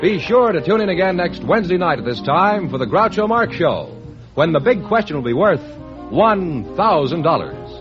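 A man reading the closing announcements of an old radio show in a smooth, polished style. His voice is fairly high and lively, and the lo-fi archival recording carries music beneath him. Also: normal speed. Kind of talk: 1950s radio announcing and sponsor reads.

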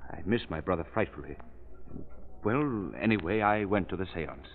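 Low, animated, earnest man in radio drama. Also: moderate pace. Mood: earnest, wistful. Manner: hesitant, earnest. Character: earnest bereaved War Department man, believer in seances. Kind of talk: 1940s radio acting. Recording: noisy, phone-like old radio recording.

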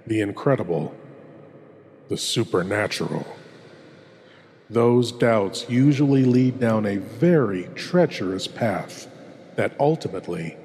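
A man hosting a horror show in a theatrical scripted style, ominous and full of dramatic pauses. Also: lowish voice, a little mellow, long reverb tail, a little hiss or hum.

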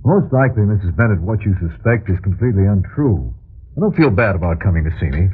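A sympathetic, matter-of-fact detective in a vintage radio play. His deep voice moves through lively rises and falls as he reassures. The old recording sounds a bit dull. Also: quick pace, slightly rough. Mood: reassuring. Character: businesslike, sympathetic male private detective. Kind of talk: old-time radio drama acting.

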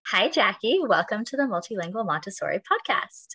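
A woman hosting a podcast, reading a scripted introduction in a clear, measured way before interviewing her guest. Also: medium pitch, sing-song, bright, forceful tone, faint music behind. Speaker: podcast host.

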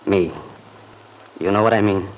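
An actor playing a jealous, hot-blooded young husband in an old-time radio drama, brooding and intense, talking in plain colloquial speech. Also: male voice, low-pitched, a little slow. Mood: brooding jealousy. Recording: noisy vintage radio recording.

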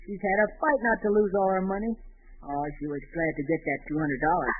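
High-voiced, bumbling young radio husband. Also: male voice, expressive, a little fast. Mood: cheerfully naive. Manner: hesitant, stammering, defensive. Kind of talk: 1940s radio situation comedy acting. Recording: noisy, narrow-band 1940 radio recording.

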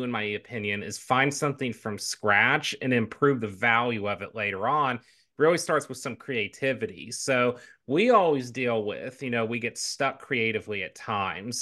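An upbeat man co-hosts a podcast in a loose, chatty way. His voice is bright and forward, with a slight nasal edge from allergies. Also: mid-range voice. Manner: upbeat, chatty, lots of fillers. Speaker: podcast co-host. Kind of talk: casual podcast chat.

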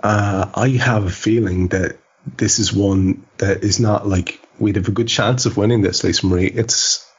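Low, husky-voiced man hosting a podcast. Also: steady tone, moderate pace, highs cut off. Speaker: podcast host. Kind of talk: conversational football discussion.

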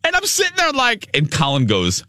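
Radio guy with a huge loud voice telling a story, super animated, dry mic. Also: extremely high pitch, normal speed, dry recording. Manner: swears casually. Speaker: radio show host. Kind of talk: storytelling chat on air.

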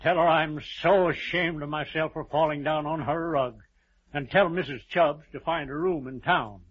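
Husky, high-voiced old army general. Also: male voice, steady tone, moderate pace. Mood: weak, ashamed. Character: proud, courtly aging army general, ailing and querulous. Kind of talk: old-time radio drama acting. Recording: muffled, hazy vintage radio recording.

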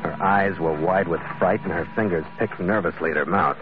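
An actor playing a tough, wry sailor and bodyguard in an old radio drama, terse and matter-of-fact. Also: male voice, sits low, brisk, natural voice. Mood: grim narration. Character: tough, wry Irish-American sailor hired as bodyguard, narrating. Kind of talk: 1940s-50s radio drama acting, hard-boiled narration. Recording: dull vintage radio recording.

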